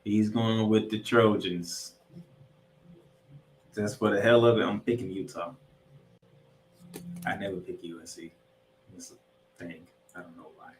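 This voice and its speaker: A man's voice: lowish voice, fairly even intonation, unhurried, long pauses, a little hiss or hum. Echoey male sports podcast host. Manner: announcer-like, addresses listeners as ladies and gentlemen. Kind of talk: animated football preview commentary. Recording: roomy echo, distant from mic.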